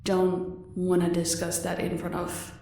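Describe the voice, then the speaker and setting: A woman plays a loyal crime henchwoman in an audio drama. She speaks slowly and tersely, understated and deferential, her voice swimming in heavy room echo. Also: lowish voice, monotone, creaky, warm tone, recorded from a distance, slight background noise. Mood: deferential, unhurried. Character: laconic female henchwoman torturer, loyal to her boss. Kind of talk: audio roleplay acting. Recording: very echoey room, away from the mic.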